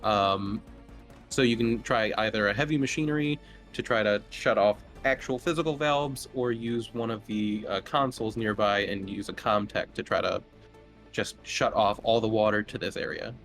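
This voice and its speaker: A man narrating as game master of a tabletop roleplaying game, improvising descriptions in a halting way. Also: lowish voice, a bit bright. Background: music.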